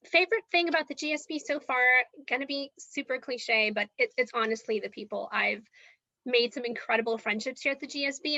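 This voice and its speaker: A woman's voice: on the high side, vocal fry, sharp tone, slightly rough, no room sound, highs cut off. A young woman from Los Angeles, moderating a casual online student panel in a friendly, upbeat way.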